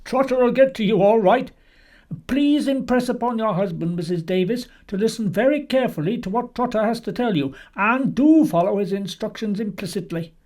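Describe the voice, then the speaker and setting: A woman voicing a brisk, confident male police superintendent who speaks formally and with authority. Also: a little high, sing-song, dark tone, pitched above the performer's own voice. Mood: commanding.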